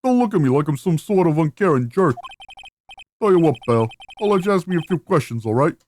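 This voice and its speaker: A gruff, folksy male police detective from a video game, acted out by a woman. He talks bluntly and bossily, in a brusque, blustery way with lively intonation. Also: sits low, fairly quick, faint music behind. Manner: blunt, calls listener "pal". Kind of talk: video game character voicing.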